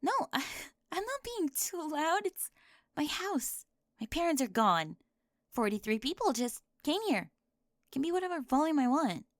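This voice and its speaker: A woman playing a talkative, bossy high school girl who gets easily flustered, in an ASMR roleplay with halting speech and breathy drops toward a whisper. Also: very animated, no echo, studio quality. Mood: exasperated, animated.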